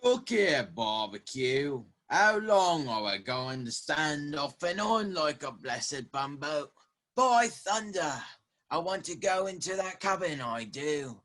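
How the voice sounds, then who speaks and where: A man as a slow, grumbling pirate sailor. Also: fairly high pitch, sing-song, a bit bright, full character voice. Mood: impatient, grumbling. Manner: demanding, salty sailor talk. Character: impatient, grumbling male mutinous pirate sailor. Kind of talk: radio play acting.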